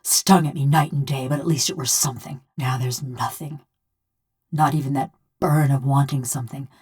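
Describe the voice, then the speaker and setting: Low-voiced, bitter folksy killer construct. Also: female voice, steady tone, moderate pace, a little mellow, breathy edge. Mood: bleak, hollow. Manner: folksy rustic speech, wry and brooding. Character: bitter vengeful female killer trapped in an unfeeling metal construct body. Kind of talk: scripted video game character dialogue.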